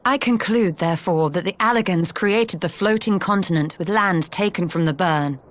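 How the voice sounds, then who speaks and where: A woman's voice: on the low side, moderate pace, natural voice. A woman playing a cool, scholarly sage in a fantasy game, precise, formal and matter-of-fact. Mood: analytical. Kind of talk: scripted fantasy game acting.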